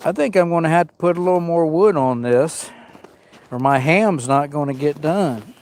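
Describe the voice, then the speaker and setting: A man's voice: a little high, lively intonation, moderate pace, a little mellow, slight background noise. A man hosting a camping livestream outdoors in the cold woods, sniffling as he chats with viewers and greets them by name. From Arizona. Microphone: small mic worn on him.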